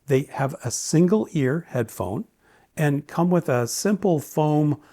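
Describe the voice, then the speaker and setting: A man's voice: on the high side, expressive, slow, dark tone. Man reviewing a product conversationally. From Canada. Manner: casual, opinionated, lightly joking. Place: indoors, home study.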